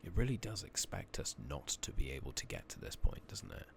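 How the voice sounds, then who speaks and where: Very deep, gravelly man, softly spoken. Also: huge pitch swings, brisk. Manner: musing to himself, reading game text aloud. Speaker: ASMR gaming streamer. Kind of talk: soft-spoken, whispered ASMR game playthrough. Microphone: close makeshift replacement microphone. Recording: noisy makeshift microphone, clicking.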